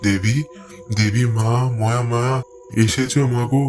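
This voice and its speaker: A man's voice: mid-range voice, fairly even intonation, a little slow, natural voice. A man playing a desperate, earnest cartoon villager devoted to occult worship, repeating his words pleadingly. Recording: music behind the voice.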